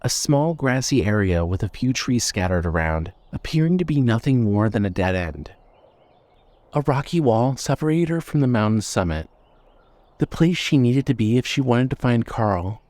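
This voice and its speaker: A man narrating a scripted suspense story in a measured, storytelling manner. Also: mid-range voice, expressive, a little mellow, no room sound.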